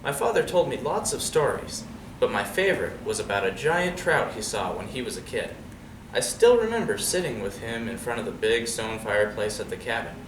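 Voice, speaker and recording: A man reading a picture book aloud to children in an expressive, conversational way, often turning to ask them questions. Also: medium pitch, a little fast. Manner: conversational, checks in with young listeners using questions. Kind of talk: expressive storybook read-aloud with casual asides. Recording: roomy, distant mic with clear background noise.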